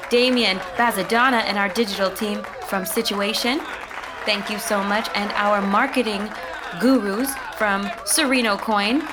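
An actress playing an enthusiastic producer introducing a creative team in an audio drama. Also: female voice, mid-range voice, noisy background, natural voice. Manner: gushing announcer tone. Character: upbeat young woman producer, gushing.

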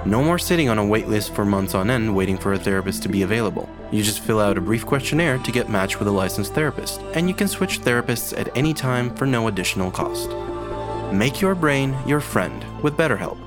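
A man reads a scripted podcast advertisement. His voice sits on the low side, with clear music underneath. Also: animated, fairly quick. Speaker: podcast ad reader. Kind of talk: scripted sponsor read.

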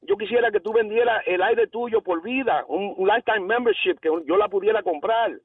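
A man's voice: high-pitched, normal speed. A man in his sixties from the Dominican Republic, speaking with a Puerto Rican Spanish accent, calling in to chat in a rambling way with English phrases mixed in. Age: 60s. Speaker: caller. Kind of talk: casual call-in chat. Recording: tinny phone line, distant.

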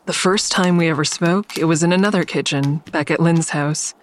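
A woman's voice: sits low, moderate pace, a little hiss or hum, lightly acted. An actress playing a weary, watchful woman who narrates her own story in an audio drama. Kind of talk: scripted first-person audio drama narration.

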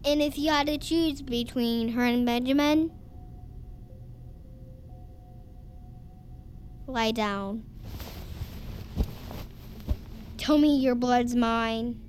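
Woman as high-voiced, coaxing sinister ritual leader. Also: a little slow, darkish tone, a little hiss or hum, natural voice. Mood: probing, commanding. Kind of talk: immersive audio drama acting.

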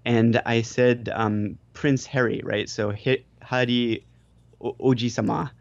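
A man's voice: medium pitch, fairly even intonation, slow, vocal fry, dry recording, highs cut off. An American man, a guest on a podcast, chatting casually and telling self-deprecating stories. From United States. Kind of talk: casual chat.

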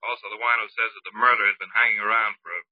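A man playing a dry, matter-of-fact American police detective in 1940s radio drama style, terse and deadpan. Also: lowish voice, racing, no echo, lightly acted. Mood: grim, matter-of-fact. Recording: dry, muffled old radio recording.

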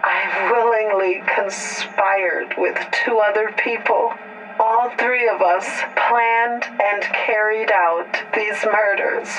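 A grave woman confesses in an audio drama, weighed down by remorse. Her delivery is extremely slow and halting, at a medium pitch. Faint music sits behind her. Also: fairly even intonation, fairly bright tone, dull audio. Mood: grave, controlled.

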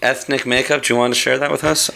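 A man's voice: mid-range voice, fairly even intonation, quick pace, creaky, sharp tone. A man hosting a pastors' podcast, affirming his guest and asking open questions. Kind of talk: interview conversation.

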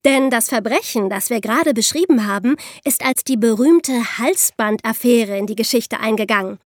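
A woman's voice: a little high, moderate pace, no echo, studio quality. A woman co-hosting a history podcast, reading scripted narration in an explanatory manner. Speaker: podcast co-host.

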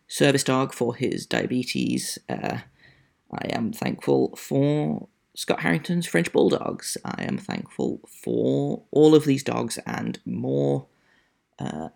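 Gravelly chatty male podcast host. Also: medium pitch, a little mellow. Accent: non-American. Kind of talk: casual solo podcast commentary.

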